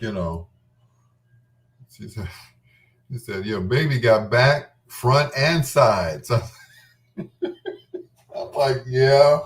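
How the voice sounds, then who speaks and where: A man's voice: lowish voice, far from the mic. A middle-aged man reminiscing casually in a rambling, candid and playful way, as the host of his own show. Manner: informal, candid, playful storytelling with self-quoting.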